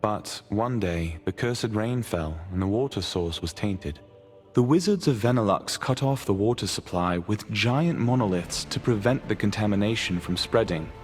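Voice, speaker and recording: A male actor as a solemn fantasy storyteller with a fairly low voice. He delivers scripted game lore as a narrator recounting old history, over faint music. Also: animated, normal speed, close to an everyday voice. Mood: solemn, measured.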